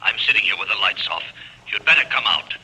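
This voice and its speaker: Tense, raspy caller on telephone. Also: male voice, lowish voice, animated, fast, bright, forceful tone, exaggerated voice, poor audio. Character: shaken, terse male client telephoning for help. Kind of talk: 1940s radio drama acting.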